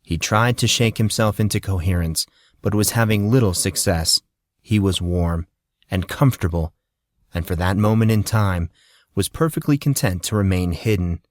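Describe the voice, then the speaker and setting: A man reading an audiobook in a steady, measured storytelling manner. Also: low-pitched, no echo. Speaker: audiobook narrator. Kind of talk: scripted audiobook narration.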